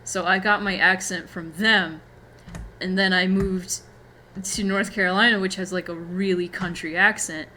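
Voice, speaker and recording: A woman's voice: lowish voice, steady tone, normal speed, pushed and bright, a little hiss or hum. An American woman from Florida, a young adult, chatting casually as a streamer in a rambling, giggly way. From Florida, USA. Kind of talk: casual chat.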